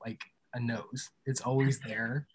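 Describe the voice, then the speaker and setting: A man's voice: mid-range voice, flat delivery, slow pace, a little hiss or hum. A man in his thirties talking casually as an interview guest. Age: 30s. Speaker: interview guest, audio drama creator.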